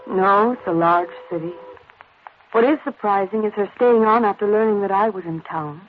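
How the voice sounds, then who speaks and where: A woman's voice: medium pitch, moderate pace, close to an everyday voice. Woman playing a wistful young widow, tinny old radio. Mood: guarded, curious. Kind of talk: 1940s radio drama acting. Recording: tinny, noisy 1940s radio recording.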